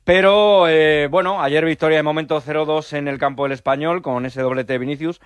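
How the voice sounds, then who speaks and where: A man's voice: on the high side, fairly quick, clear, resonant tone, no room sound. A man giving a radio sports report in casual chat, talking on through interruptions. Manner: lively, talks on through interruptions.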